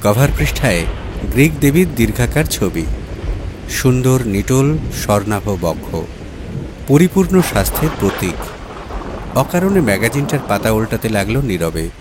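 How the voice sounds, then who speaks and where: A man's voice: mid-range voice, sing-song, moderate pace, long pauses, noisy background. A man narrates a literary short story in a dramatic audiobook style. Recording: music bed under the voice. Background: background music.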